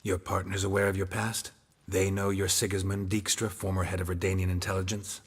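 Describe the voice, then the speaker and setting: An actor playing a terse, stoic monster-hunting mercenary in a video game, deadpan and clipped. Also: male voice, deep voice, fairly quick, husky. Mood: probing. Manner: laconic, deadpan, clipped sentences. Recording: music behind the voice.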